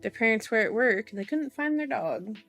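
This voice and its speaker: A woman's voice: on the high side, animated, brisk, a bit bright, faint music behind. A woman reading a novel aloud in an ASMR style, with informal, giggly asides, as a streamer. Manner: giggly, self-interrupting, informal.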